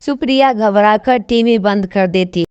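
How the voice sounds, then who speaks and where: A woman's voice: mid-range voice, measured pace, darkish tone, clear, resonant tone, no echo, dull audio. A woman reading scripted narration for a children's moral story.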